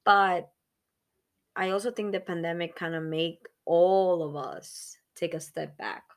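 Thirtysomething woman on a podcast, low-ish voice, earnest, kinda rambly. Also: fairly even intonation, unhurried. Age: 30s. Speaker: podcast co-host. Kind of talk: casual chat.

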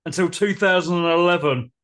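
A man with a bright, forceful voice, steadily sharing film trivia in a relaxed podcast chat. His pitch sits a little high, and he lays out dates and credits in plain, matter-of-fact turns. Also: a little fast. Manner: informative, reels off film trivia. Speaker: podcast co-host. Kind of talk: casual film discussion.

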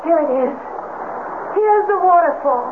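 An actress playing an excitable young woman in a 1970s radio drama, on a very noisy, tinny old broadcast. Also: very high voice, measured pace. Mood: excited, awed. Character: excitable young woman revisiting her childhood cave haunt.

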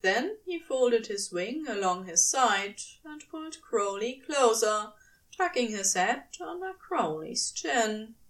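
High-voiced woman narrating fiction, unhurried. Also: expressive, fairly bright tone, recorded from a distance. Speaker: podfic narrator.

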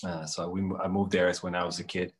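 A man from Bosnia, a spiritual coach, answering interview questions in a hesitant, musing way with many pauses. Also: low-pitched, little pitch movement.

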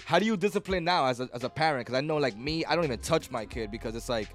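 A man's voice: medium pitch, lively intonation, quick pace, faint music behind. A man hosting a casual podcast chat, loose and joking and swearing freely. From Lawrence, Massachusetts. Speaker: podcast host.

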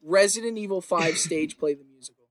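A man's voice: sits high, a little fast, a bit bright, no room sound. A man chatting casually and enthusiastically about games, with loose, informal phrasing. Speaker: podcast co-host.

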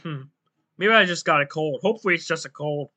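A man hosting his own podcast in a casual, rambling solo talk, his voice going hoarse and often clearing his throat. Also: a little high, a little fast, sharp tone. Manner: rambling, loose and self-deprecating, many fillers. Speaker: podcast host.

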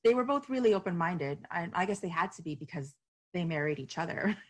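A woman's voice: on the low side, expressive, brisk. A woman from Miami, an author and activist, answering interview questions in a reflective way. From Miami, United States. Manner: reflective, with some hesitations and restarts.

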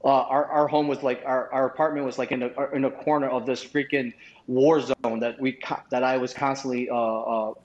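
A man's voice: medium pitch, level tone, moderate pace, a little mellow. Man telling his story in a roomy room. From Vietnam. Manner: rambling with frequent restarts and fillers. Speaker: podcast guest. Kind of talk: casual storytelling. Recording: roomy, dull lo-fi sound.

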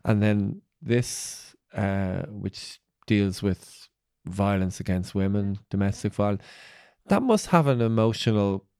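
Creaky-voiced male interviewer, close and dry. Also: on the low side, animated, takes it slowly, darkish tone, no room sound. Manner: tentative, long questions built in fragments. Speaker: interviewer, podcast host. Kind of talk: conversational interview questioning.

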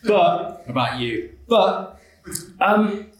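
A man's voice: sits high, animated. A young man telling funny, self-deprecating stories about his life to an audience. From England, Manchester area. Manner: self-deprecating, joking, quick asides. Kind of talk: comedic personal storytelling. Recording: echoey hall from far off.

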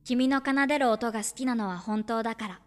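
Audio drama schoolgirl, played by a woman: high-pitched, gentle, shy, earnest, a little hesitant. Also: a little fast, close to an everyday voice. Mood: tender, wistful. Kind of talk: Japanese audio drama acting with first-person narration.

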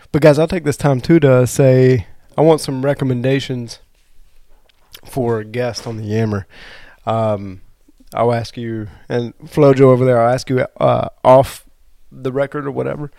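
Gravelly, congested podcast host. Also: male voice, mid-range voice, mellow. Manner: loose, laughing, rambling. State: congested from allergies. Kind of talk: casual chat.

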